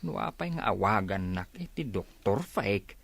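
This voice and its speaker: A man with an Ilocano accent playing a humble, easily hurt older village herbal healer in a radio comedy drama, with a gravelly, husky voice. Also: lowish voice, very animated, moderate pace, no room sound, heavily acted.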